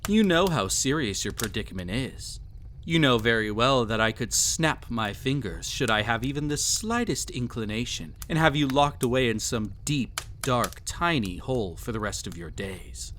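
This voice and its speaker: A man's voice: medium pitch, lively intonation, normal speed, a bit bright, slight background noise, close to an everyday voice. A man playing a cruel, possessive, vain emperor toying with a captive in an intimate audio roleplay, with haughty, teasing, theatrical phrasing. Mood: menacing, teasing.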